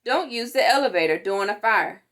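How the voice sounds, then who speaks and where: A woman reading a children's safety book aloud in an animated, instructive manner. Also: on the high side, fairly quick. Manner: animated, instructive, acts out sound words. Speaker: storytime reader.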